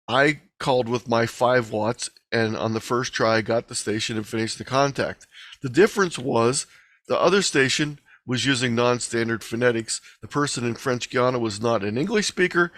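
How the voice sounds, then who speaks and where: A man's voice: medium pitch, fairly bright tone, no room sound. Man giving a dry-sounding instructional webinar talk. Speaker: presenter. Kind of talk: instructional webinar lecture with slides. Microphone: video call microphone.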